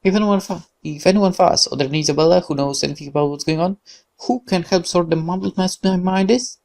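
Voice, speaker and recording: Very creaky voice, game streamer, dramatic read-aloud narration, expressive, occasional stumbles. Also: medium pitch, fast.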